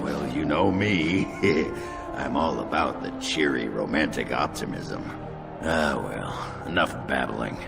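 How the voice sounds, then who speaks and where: An actor playing a gruff, hard-drinking dwarf warrior in a video game, crude and jovial, with a gravelly voice. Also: male voice, very deep voice, exaggerated voice. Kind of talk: video game character dialogue. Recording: loud music behind. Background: loud music.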